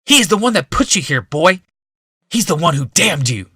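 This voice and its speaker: A bitter fantasy rebel forced into service , acted by a male narrator. He speaks in a raised, swinging pitch with a resentful edge, close and dry. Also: big pitch swings, moderate pace, sharp tone, slightly rough, no room sound, exaggerated voice. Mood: angry, bitter. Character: Gix, bitter, cursing rebel turned reluctant servant.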